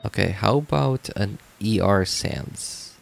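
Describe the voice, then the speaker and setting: A man's voice: lowish voice, lively intonation, slow pace, vocal fry, faint music behind. A man chatting casually on a game livestream, thinking aloud in a rambling way and often repeating himself. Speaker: game streamer. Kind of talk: casual livestream chat while playing.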